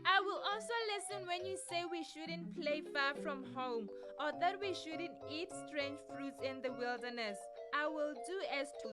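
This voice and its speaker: A woman doing a very high, fretful child. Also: moderate pace, hardly any pauses, natural voice. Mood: worried. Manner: anxious, eager questions. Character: young child listening to a story, easily scared. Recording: music playing underneath. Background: music.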